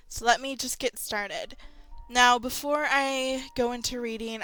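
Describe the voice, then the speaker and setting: A young woman with a cold reads a horror tale aloud, her voice slightly nasal. Her tone is bright and biting, pitched a bit high, and she keeps cutting in with exasperated, sarcastic remarks. Faint music plays underneath. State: has a cold. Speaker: channel host reading horror stories. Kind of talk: story reading with mocking commentary.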